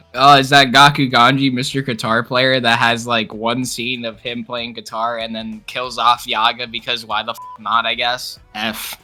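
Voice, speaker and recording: A young man chatting casually as a co-host, excitable and slangy, swearing freely. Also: medium pitch, slow pace. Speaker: co-host ranking anime characters. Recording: music playing behind the voice. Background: music.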